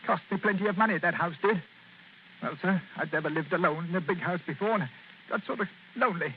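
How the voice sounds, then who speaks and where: A man's voice: high voice, fast, a little hiss or hum, natural voice. An actor playing a miserly, self-pitying old man in a 1940s radio drama. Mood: reminiscing. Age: older. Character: miserly old male retired colourman, whining. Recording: tinny lo-fi old radio recording.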